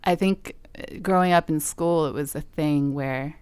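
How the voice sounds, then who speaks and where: A woman's voice: sits low, unhurried, darkish tone, smooth, dry recording. A young woman from Southern California, chatting casually in an interview, with lots of likes and ums. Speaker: guest musician.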